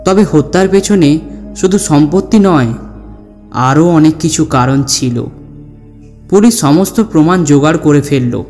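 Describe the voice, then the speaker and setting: A man narrating a scripted horror story in a measured, solemn, dramatic way. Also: a little high, animated, a little mellow. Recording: long reverb, music behind.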